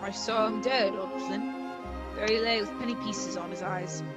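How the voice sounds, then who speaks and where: A woman playing an excitable, easily spooked pirate crewman in a radio play. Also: medium pitch, moderate pace, over music, lightly acted.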